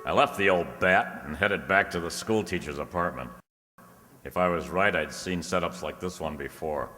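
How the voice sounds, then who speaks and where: An actor playing a wisecracking hard-boiled private detective in a radio play, with a dry, sardonic delivery. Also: male voice, deep voice, moderate pace, sharp tone, soft background music. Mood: brooding narration. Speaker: amateur radio drama actor. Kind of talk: old-time detective radio play acting and narration. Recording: echoey hall, long reverb.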